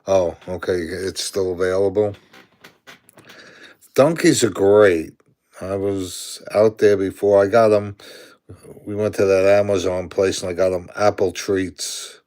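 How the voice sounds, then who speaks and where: A man's voice: low voice, steady tone, measured pace, a little mellow. A man in his sixties from Brooklyn, New York, with a Brooklyn accent, chatting casually on a live stream, answering viewer comments aloud and chuckling often.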